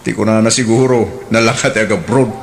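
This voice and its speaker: A man's voice: on the low side, fairly even intonation, moderate pace, slight background noise, lightly acted. A man acting a blunt, grumbling older brother in an Ilocano radio drama, with an Ilocano accent.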